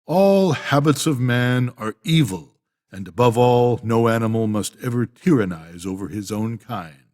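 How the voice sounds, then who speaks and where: An older man voicing an old boar, a solemn, rousing elder of farm animals, giving a measured, emphatic storybook speech. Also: mid-range voice, expressive, a little mellow, close to an everyday voice. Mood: solemn, commanding. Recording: long hall-like reverb.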